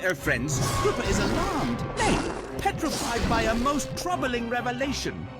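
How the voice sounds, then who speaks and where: An actor playing a timid troll merchant who talks about himself in the third person in old-fashioned words. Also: male voice, very high-pitched, sing-song, measured pace, full character voice. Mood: fearful. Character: timid male troll merchant, superstitious and wordy. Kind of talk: game cutscene voice acting. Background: loud orchestral music.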